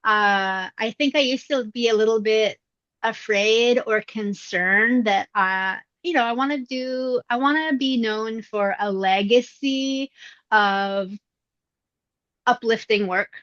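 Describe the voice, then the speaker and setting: A middle-aged woman whose voice still sounds youthful answers interview questions in a relaxed, reflective way, often pausing on fillers. The recording is dry and close, with a slightly dull, lo-fi tone. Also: a little high, no room sound. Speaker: voice actress, interview guest. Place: indoors at her mother's home.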